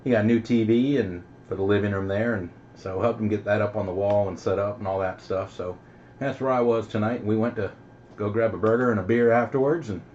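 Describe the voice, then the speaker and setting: A man's voice: lowish voice, a little fast, a little mellow. A middle-aged man chatting casually and in a folksy, easygoing way as host over CB radio. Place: home radio base station. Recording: dull, noisy CB radio audio.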